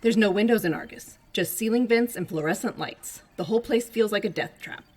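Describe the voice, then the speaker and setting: An actress playing a cold, secretive operations commander in an audio drama, with a clipped, authoritative delivery. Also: female voice, medium pitch, brisk, darkish tone, slight background noise, close to an everyday voice. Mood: cold, commanding.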